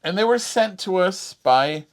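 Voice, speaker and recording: A man's voice: high-pitched, lively intonation, unhurried. An American man co-hosting a casual product review, with laid-back, joking phrasing. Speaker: co-host reviewing RV gadgets.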